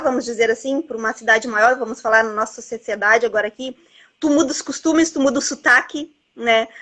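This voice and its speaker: A woman's voice: on the high side, fairly bright tone. A woman, chatting casually about a book with its author and filling her speech with tag questions and little asides. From southern Brazil border region. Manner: rambling, lots of "né" and "assim, ó". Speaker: bookseller interviewing an author.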